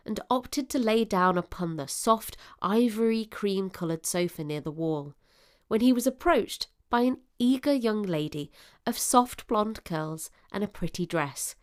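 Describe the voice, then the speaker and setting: A woman narrator reading a scripted period drama in an expressive, literary storytelling manner. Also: medium pitch.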